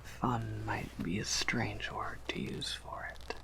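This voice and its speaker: Slow, creaky whispering vampire shopkeeper acted by a man. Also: lowish voice, level tone, heavily acted. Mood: wry, musing. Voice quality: breathy whisper with creaky edges. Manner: gentle, reassuring, hesitant musing with soft hums. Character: soft-spoken, patient, wry male vampire apothecary, collector of oddities. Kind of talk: ASMR roleplay, whispered.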